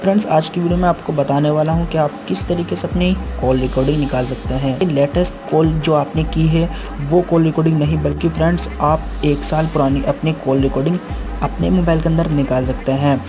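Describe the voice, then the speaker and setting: A man's voice: a little high, fairly even intonation, fairly quick. A man with an Indian accent giving a step-by-step tech tutorial as a host, addressing viewers as friends in a repetitive way. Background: background music.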